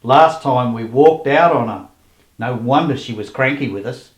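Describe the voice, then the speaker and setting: A man's voice: medium pitch, moderate pace, echoey room, lightly acted, poor audio. A man playing a scheming, indignant radio actor in a comic radio play. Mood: conspiratorial.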